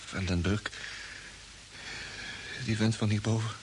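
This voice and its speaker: An actor playing a dazed, guileless crewman, halting and bewildered, in an old radio play. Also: lowish voice, steady tone. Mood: groggy, confused. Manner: halting, bewildered, repeating words. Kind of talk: mid-20th-century Dutch radio play acting. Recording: hissy old radio drama recording.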